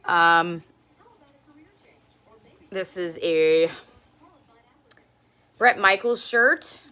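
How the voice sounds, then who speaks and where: Chatty woman with a bright, low voice. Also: drawn-out words, clean tone. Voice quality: low speaking voice. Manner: chatty, rambling, laughs often. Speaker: live stream host. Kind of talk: casual live-stream show and tell.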